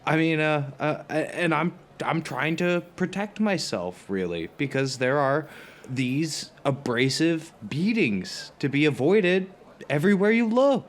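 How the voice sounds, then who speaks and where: Man playing a halting, anxious, self-deprecating friend. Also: a little high, animated. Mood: defensive, plaintive. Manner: halting, stammering, rambling with many hedges. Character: anxious, self-deprecating New Yorker who loves comfort. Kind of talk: naturalistic audio drama dialogue.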